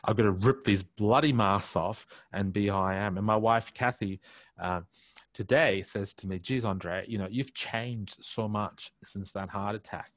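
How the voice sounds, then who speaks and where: Low-voiced Aussie man in his fifties. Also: measured pace, fairly bright tone, dry recording. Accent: Australian. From India. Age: 50s. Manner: reflective, frequent restarts and filler phrases. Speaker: interview guest. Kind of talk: candid personal storytelling. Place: home study on a video call. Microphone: headset.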